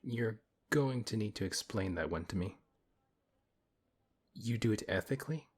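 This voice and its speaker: Man acting as a deep, husky, principled paladin. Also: expressive. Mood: serious, questioning. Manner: measured, earnest, hesitant pauses. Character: big strong male paladin, principled, gently protective. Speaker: audio roleplay performer. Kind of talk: fantasy audio roleplay, one-sided intimate conversation.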